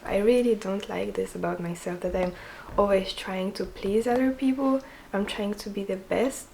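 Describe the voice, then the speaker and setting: Soft-voiced young woman, earnest and hesitant, relaxed chatty vlog delivery at a middling pitch. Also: normal speed, vocal fry, a little hiss or hum, poor audio. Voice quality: soft quiet voice. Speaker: vlogger.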